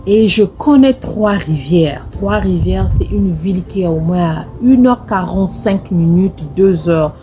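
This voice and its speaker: Congolese french accent woman doing storytime, mellow tone, repeats stuff for emphasis, bit of background noise. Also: lowish voice, animated, unhurried, hardly any pauses. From Congo-Brazzaville. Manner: emphatic repetition of phrases. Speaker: video storyteller. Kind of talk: casual personal storytelling to camera.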